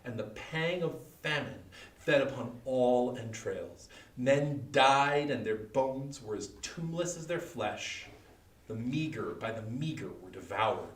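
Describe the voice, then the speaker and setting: A man giving a solemn dramatic poetry reading, with theatrical pauses. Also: mid-range voice, lively intonation. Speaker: volunteer or library staff reader. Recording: echoey room, distant mic.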